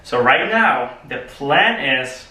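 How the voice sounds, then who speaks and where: Man, Polish accent, bright tense voice, earnest club speech, heard from a distance. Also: mid-range voice, expressive, slow. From Poland. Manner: earnest, restarts phrases, non-native grammar. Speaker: club speaker. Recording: echoey room, mic far off.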